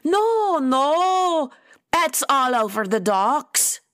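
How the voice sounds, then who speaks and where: A woman's voice: high-pitched, big pitch swings, very slow, fairly bright tone, dry recording, studio quality, pitched above the performer's own voice, heavily acted. A woman voicing an urgent, blunt Scottish woman bringing bad news in an audiobook, with a coarse manner. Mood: flustered.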